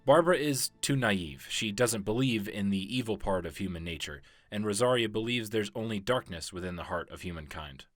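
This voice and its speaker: A man's voice: lowish voice, expressive, a little fast, few pauses, fairly bright tone, dry recording. A man giving scripted narration as a video game lore explainer. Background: background music.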